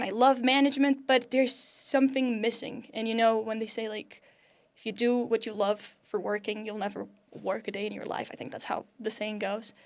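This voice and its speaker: Chatty Portuguese woman in her twenties. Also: fairly high pitch. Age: 20s. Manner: chatty and upbeat, laughs often, many "like" fillers. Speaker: podcast guest. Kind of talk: casual interview chat.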